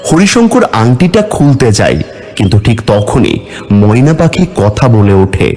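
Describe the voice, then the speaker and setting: A man's voice: medium pitch, sing-song, unhurried, darkish tone, faint music behind. A man narrating a scripted fairy-tale cartoon as the storyteller.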